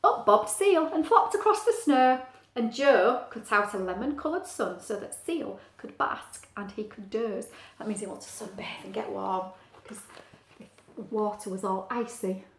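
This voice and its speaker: A woman's voice: mid-range voice, lively intonation, measured pace, vocal fry. A woman reading a picture book aloud to young children, explaining things simply and adding chatty asides. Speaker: story reader for young children. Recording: echoey, roomy sound.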